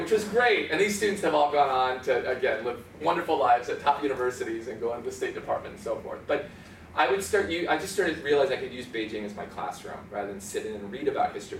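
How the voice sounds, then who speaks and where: A man giving a casual, animated storytelling talk as an author, laughing at times and mimicking spoken tones. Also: mid-range voice, slight background noise. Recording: roomy, from far off, faint ambience.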